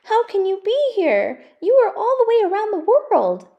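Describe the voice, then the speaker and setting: A woman's voice: very high-pitched, huge pitch swings, moderate pace, dull audio, voice pushed up, exaggerated voice. A woman voicing an earnest, anxious young male character in a children's storybook, speaking in a heartfelt way. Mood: astonished.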